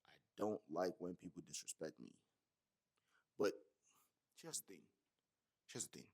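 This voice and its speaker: A young man from Nigeria with a Yoruba accent, telling a personal story to camera in a slangy, animated way with many fillers. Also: low voice, a little slow, slightly rough. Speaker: vlogger. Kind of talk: casual monologue to camera.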